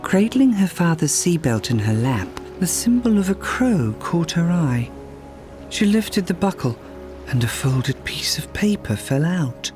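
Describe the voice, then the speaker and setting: A man reading a children's fairytale aloud as a storyteller, in expressive scripted narration. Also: on the high side, measured pace. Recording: music behind the voice. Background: music.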